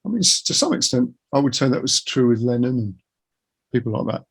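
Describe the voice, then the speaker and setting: Husky, gravelly middle-aged man, reflective. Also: medium pitch, animated, fairly quick. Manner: reflective, earnest, opinionated. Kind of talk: casual conversational interview.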